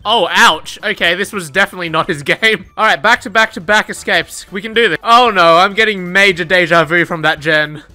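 Excitable swearing young man gaming. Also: high voice, fairly quick, hardly any pauses, faint music behind. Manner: excitable, swearing, yelling at the game and laughing. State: tired, says his voice is going. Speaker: gaming YouTuber. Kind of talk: live gameplay commentary.

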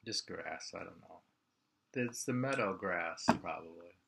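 A man in his sixties with an American Midland accent, chatting casually and reading poetry aloud in a halting, musing way. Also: low voice. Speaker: poetry reader and host. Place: small home room by a window, indoors. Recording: clearly noisy home webcam recording with clicks.

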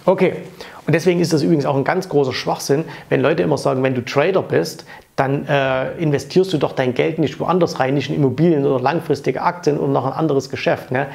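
A man's voice: fairly high pitch, quick pace, gravelly, a little mellow. A man in his thirties giving blunt, opinionated money advice straight to camera in an office, speaking on a lapel mic and dropping frequent fillers and tag questions. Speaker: finance coach and channel host. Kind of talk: casual to-camera advice talk. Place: office with framed pictures, indoors.

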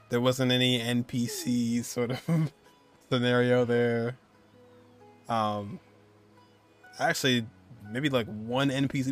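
Male streamer, 20s, laid-back casual reaction commentary, faint game music behind. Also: mid-range voice.

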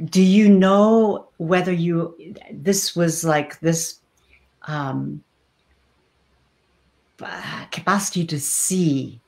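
A middle-aged woman hosts an interview over a webcam from a small room, her voice low. She asks her questions slowly and thoughtfully, working her way toward each point. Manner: slow, thoughtful, searching questions. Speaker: host. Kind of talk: video call interview. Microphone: webcam on video call.

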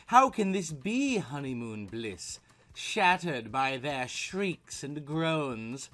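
A man narrating a horror comic story in a deliberate, melodramatic scripted style. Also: a little high, sing-song, fairly bright tone.

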